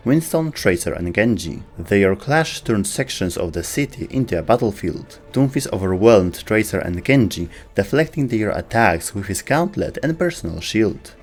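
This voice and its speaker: A man's voice: on the low side, very animated, moderate pace, darkish tone. A man giving scripted, documentary-style narration for a game lore video.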